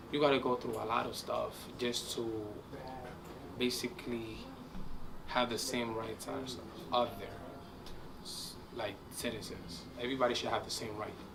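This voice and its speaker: A man, a student, answering interview questions haltingly, searching for words. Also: medium pitch, gravelly. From Dominican Republic. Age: 20s. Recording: distant mic with street noise.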